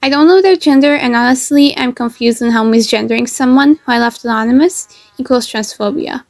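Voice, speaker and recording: A woman reading a written post aloud as a guest, brisk and matter-of-fact. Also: on the high side. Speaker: guest reader.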